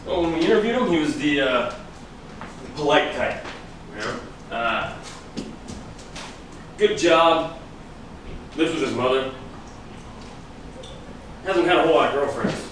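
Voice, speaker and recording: A man's voice: a little high, animated, unhurried, long pauses, a bit bright. Man acting a probing detective, very echoey distant recording. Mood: musing. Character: male detective, teasing, probing friend of another investigator. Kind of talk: stage acting at a script reading. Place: large room, staged reading recorded from afar. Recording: echoey room, far from mic, noisy.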